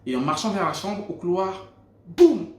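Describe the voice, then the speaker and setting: Man, Gabonese French accent, fairly high voice, earnest faith testimony, echoey room. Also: lively intonation, moderate pace. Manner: earnest storytelling with frequent restarts. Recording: roomy echo.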